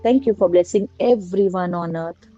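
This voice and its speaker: A woman slowly guiding a prayer and meditation in a soft, repetitive litany of blessings. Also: fairly low pitch. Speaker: meditation guide. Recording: dull lo-fi recording with faint music. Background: faint music.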